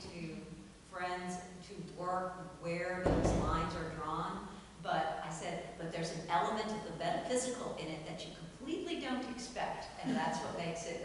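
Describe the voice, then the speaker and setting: A woman giving spontaneous, reflective comments in a talkback discussion. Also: lowish voice. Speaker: talkback participant. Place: large indoor theater hall, distant microphone. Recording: distant, very echoey hall, clear noise.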